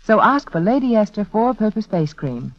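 A woman's voice: medium pitch, big pitch swings, normal speed, dry recording. A woman spokeswoman reading a persuasive, carefully enunciated 1940s radio commercial. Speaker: advertising spokeswoman, beauty authority. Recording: muffled vintage 1940s radio recording.

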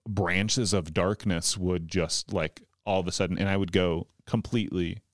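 A man's voice: low voice, fairly even intonation, normal speed, dry recording, studio quality. A man in his thirties, a comedian guest, talking casually in a rambling way with lots of likes and restarts. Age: 30s. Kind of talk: casual podcast conversation.

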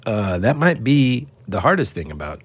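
A man's voice: medium pitch, sing-song, normal speed, breathy edge. A man co-hosting a podcast in a casual chat. He sounds nasal and stuffed up as he gets over an illness, rambling in a laid-back way. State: congested, recovering from illness. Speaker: podcast co-host.

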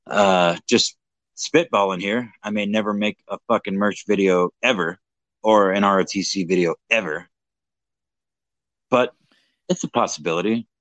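A man's voice: fairly low pitch, moderate pace, long pauses, a bit bright, no echo. A young man in casual livestream chat, slightly drunk, rambling, swearing and mocking himself. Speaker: livestream co-host.

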